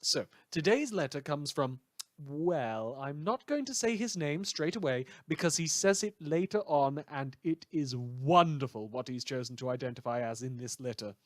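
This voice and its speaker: Man playing a glib, higher-voiced radio host. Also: expressive, moderate pace, dry recording, studio quality, close to an everyday voice. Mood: wry, chatty. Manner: wry, wordy, showy delivery. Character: glib, sardonic male small-town radio news host. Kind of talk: theatrical satirical radio broadcast.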